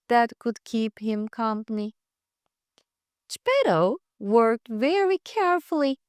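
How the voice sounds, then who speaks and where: A woman reading a scripted children's fairytale aloud for English learners, with careful, deliberate phrasing. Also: a little high, clean tone, no echo, clean studio sound. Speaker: storyteller.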